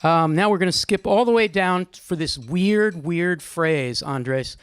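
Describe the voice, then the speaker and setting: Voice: mid-range voice, measured pace, clear, resonant tone, dry recording, studio quality. A host leading a group discussion at a live gathering, speaking conversationally and teasingly, calling on people by name. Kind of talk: informal live moderating, with some poetry reading. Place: indoor room at a live gathering.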